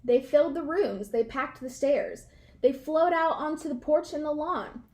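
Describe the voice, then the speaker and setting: A woman with a high-pitched voice narrates a children's storybook. She reads expressively, in an animated read-aloud style, leaning on key words for emphasis. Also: a little slow.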